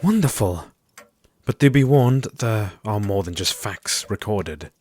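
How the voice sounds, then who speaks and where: A man acting in an audio drama as a gentle, troubled Victorian doctor with courteous, formal speech. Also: on the low side, animated, measured pace. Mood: grateful, earnest.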